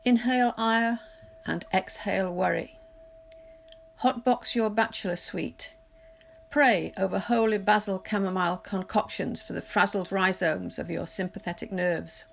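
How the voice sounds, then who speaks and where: A woman reading poetry aloud in a measured, literary recitation, deliberately pausing phrase by phrase. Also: medium pitch, vocal fry, slight background noise. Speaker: poetry reader. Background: music.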